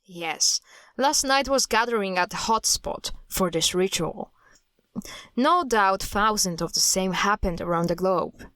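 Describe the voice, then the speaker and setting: A woman's voice: medium pitch, measured pace, a bit bright, no echo, lightly acted. A woman playing a blunt, guarded cult hunter in an audio drama, speaking in a terse, matter-of-fact way. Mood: firm.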